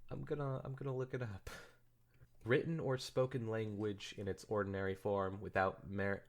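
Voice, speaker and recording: A man's voice: on the low side, level tone, moderate pace. A man co-hosting a podcast, chatting informally and interjecting with asides and commentary. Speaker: podcast co-host. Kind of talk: casual chat and commentary.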